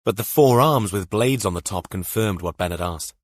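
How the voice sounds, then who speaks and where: A man's voice: on the low side, moderate pace, no room sound, clean studio sound. A man narrating a scripted thriller audiobook.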